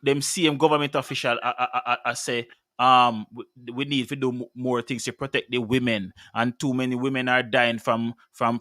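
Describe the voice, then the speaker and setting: A man's voice: mid-range voice, pushed and bright, dry recording. A man in his thirties with a Jamaican accent, hosting a heated talk show discussion, passionate and often repeating words as he speaks into a studio mic. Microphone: studio mic with headphones.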